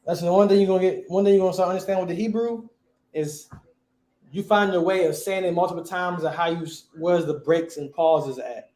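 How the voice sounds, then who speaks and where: A man's voice: high-pitched, a little fast, a little mellow, echoey room, poor audio. A man teaching a class, quizzing his students and repeating key words.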